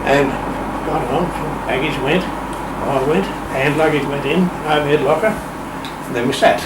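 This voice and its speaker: A man's voice: on the high side, measured pace. An older Australian man telling a casual anecdote as an interview guest, in a wry, playful, understated way. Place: radio shack room. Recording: roomy, distant mic, clear background noise.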